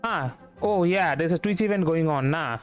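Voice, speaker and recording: A man with an Indian accent giving casual, halting live commentary as he streams a video game. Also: a little high. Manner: halting, rambling. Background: game music.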